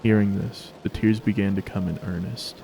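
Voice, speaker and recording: A man's voice: lowish voice, steady tone, normal speed, gravelly, over music. A man narrating a story as a scripted dramatic reading.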